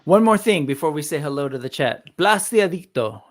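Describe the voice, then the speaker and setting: A man in his forties hosting a casual chat, talking in a relaxed, rambling way with many fillers. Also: on the high side, moderate pace, no echo, clean studio sound. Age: 40s. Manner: relaxed, chatty, lots of fillers and restarts. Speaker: host. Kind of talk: casual chat on a live stream.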